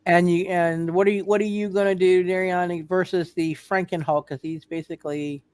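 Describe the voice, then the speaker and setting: A game master running a live tabletop superhero roleplay, speaking haltingly and often repeating words and phrases. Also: mid-range voice, fairly even intonation, a little mellow, smooth.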